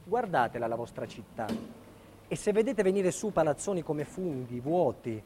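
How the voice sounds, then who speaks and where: A man's voice: on the high side, lively intonation, moderate pace, warm tone, slight background noise, close to an everyday voice. A man playing a defiant, indignant building contractor, delivering an emphatic theatrical monologue about his ordeal. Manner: emphatic, dramatic storytelling. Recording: reverberant hall with long echo.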